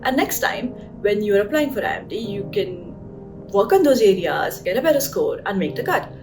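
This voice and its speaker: A woman's voice: mid-range voice, sing-song, moderate pace. A young woman doctor with an Indian accent, giving chatty advice in a vlog with lots of fillers and "right?" tag questions. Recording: voice a bit distant from the mic, guitar music behind.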